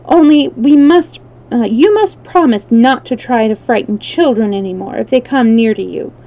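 Woman playing a high-voiced earnest young girl. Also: moderate pace, warm tone, close to an everyday voice. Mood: reassuring.

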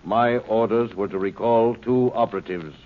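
An actor playing a cold, superior alien being in a human body, speaking precisely and with detachment, in an old 1950s radio drama. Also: male voice, lowish voice, normal speed, natural voice. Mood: detached. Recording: dull old radio broadcast with faint hiss.

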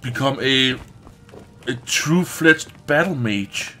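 A man's voice: medium pitch, animated, slow, sharp tone, slight background noise. A man giving casual let's play commentary as host, informal and sweary, thinking aloud. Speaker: gaming video host.

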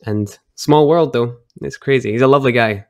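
A young man from Edinburgh with a Scottish accent, chatting and telling stories as a podcast guest over a video call, relaxed and quick to laugh. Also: mid-range voice, no room sound, clean studio sound. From Scotland, Edinburgh. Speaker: guest, whisky brand ambassador. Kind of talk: casual storytelling chat.

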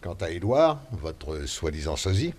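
An actor playing an impatient, evasive sheriff in a French radio drama. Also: male voice, sits low, very animated, unhurried, darkish tone, heavily acted. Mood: brusque. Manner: brusque, evasive. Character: busy impatient small-town sheriff.

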